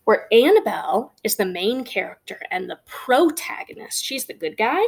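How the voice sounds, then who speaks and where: A woman's voice: medium pitch, sing-song, normal speed, vocal fry, slightly rough. A woman guiding young children through a storybook, asking simple questions as a teacher would. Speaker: teacher leading a children's read-aloud.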